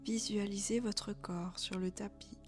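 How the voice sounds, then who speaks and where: A woman leading a guided relaxation as a yoga instructor, speaking softly and calmly with breathy, near-whispered phrases. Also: medium pitch, a little slow. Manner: soft, calm, soothing delivery with breathy, near-whispered phrases. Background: background music.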